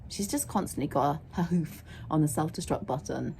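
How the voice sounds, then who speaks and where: A young woman with a croaky voice, chatting casually in a rambling way as she vlogs about her horse. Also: low-pitched, a little fast, warm tone, a little hiss or hum. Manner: chatty and rambling, laughs often. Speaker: vlogger, horse owner. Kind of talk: casual vlog chat. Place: outdoors at a stable yard, then in a car.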